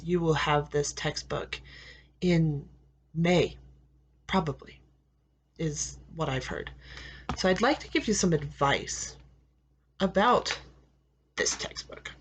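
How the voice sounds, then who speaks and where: An American woman teaching English, giving students slow, deliberate advice and repeating key phrases with frequent "okay?" checks. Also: sits low, slightly rough. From United States. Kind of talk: classroom advice talk to students.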